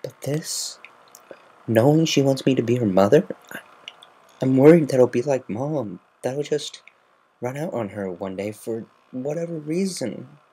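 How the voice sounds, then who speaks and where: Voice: medium pitch, expressive, a little slow, dark tone, breathy edge, pitched above the performer's own voice, poor audio. A reader performing a brash, proud cartoon pegasus mare, defensive and hurting underneath, in a dramatic fan fiction reading. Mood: anguished, pleading.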